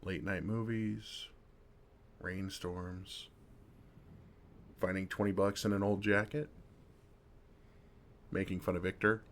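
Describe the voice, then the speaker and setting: A man playing a kind, wry older vampire who comforts a fledgling in a soft-spoken, reassuring ASMR roleplay, gently teasing with pauses. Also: low-pitched, little pitch movement, a little hiss or hum. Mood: reflective, soothing. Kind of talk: intimate ASMR roleplay, second-person comforting.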